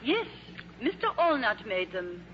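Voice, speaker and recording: A high, bright woman's voice a determined, proper lady missionary. She speaks with refined diction in the manner of old radio drama, on a slightly noisy, dull vintage recording. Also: very animated, a little slow. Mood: proud, defiant.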